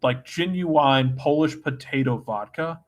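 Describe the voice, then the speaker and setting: Wry man chatting on a panel, medium pitch. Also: a little slow. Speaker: soccer show panelist. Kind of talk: casual chat, anecdotal.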